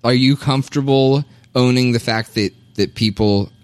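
A podcast host asks casual questions in a voice of middling pitch, nudging the guest on with short murmurs. The recording is dry and close. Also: normal speed, clean tone, dry recording. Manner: brief encouraging backchannels. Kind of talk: casual interview chat.

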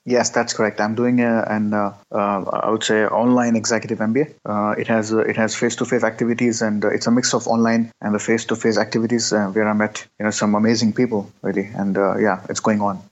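A man in his thirties from Pakistan, a guest answering interview questions with many pauses, fillers and restarts. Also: fairly low pitch, flat delivery. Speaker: guest, entrepreneur. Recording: dull lo-fi sound.

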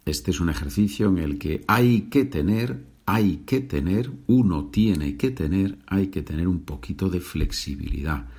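A man from leads a listening exercise as a teacher. His intonation is highly animated, rising and falling widely. He speaks deliberately and didactically, setting out each practice sentence for learners. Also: slightly rough. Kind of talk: language lesson, reading numbered practice sentences.

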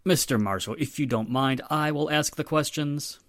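An actor playing a firm, courteous police inspector with a formal, authoritative manner in 1940s radio drama style. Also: male voice, fairly high pitch, normal speed, dry recording, clean studio sound, natural voice. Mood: stern, controlled.

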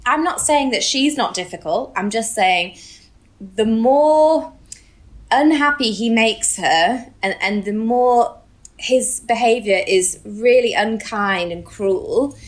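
A woman's voice: on the high side, expressive, takes it slowly. Candid, chatty woman in her thirties. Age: 30s. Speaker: podcast co-host. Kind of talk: casual book discussion.

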